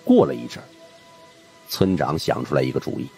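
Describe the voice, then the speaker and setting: A man with a very low voice narrates a supernatural mystery novel. His intonation is lively and varied, in the animated manner of a storyteller. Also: fairly quick, faint music behind. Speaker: audiobook narrator.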